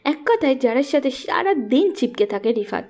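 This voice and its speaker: A woman's voice: sits high, very animated, moderate pace, a little mellow, soft background music. A woman narrating a scripted romantic story as the storyteller.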